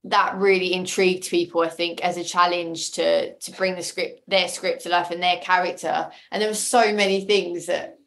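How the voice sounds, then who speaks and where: A woman's voice: lowish voice, steady tone, normal speed, gravelly, hardly any pauses, fairly bright tone. A woman talking casually and effusively as an interview guest, telling stories and repeating phrases for emphasis. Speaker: audio drama creator and casting lead, interview guest. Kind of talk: casual conversational storytelling in an interview.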